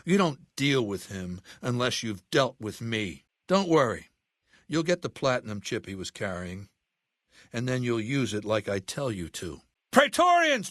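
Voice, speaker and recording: A man's voice: medium pitch, fairly bright tone, no room sound, studio quality, natural voice. An older man playing an imperious, ruthless warlord in a video game, speaking with cold, commanding, formal diction. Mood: shouting. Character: imperious, ruthless ageing male warlord commanding a slaver legion. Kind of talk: video game character acting.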